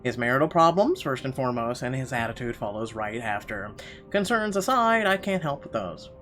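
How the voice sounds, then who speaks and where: A man's voice: medium pitch, big pitch swings, fairly quick, a bit bright, faint music behind. Man dramatically reading game narration. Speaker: gaming channel host reading a visual novel aloud. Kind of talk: dramatic read-aloud of first-person game narration.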